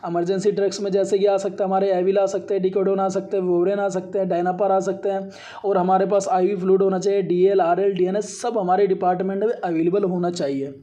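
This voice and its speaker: A man's voice: high-pitched, level tone, brisk, warm tone, dull audio. A man teaching as an instructor, going through a slide lecture point by point and repeating himself as he explains. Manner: repetitive, reads points out then re-explains them. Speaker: radiography instructor.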